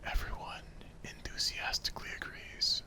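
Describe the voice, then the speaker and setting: A man whispering the narration of a visual novel in a hushed ASMR style. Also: moderate pace.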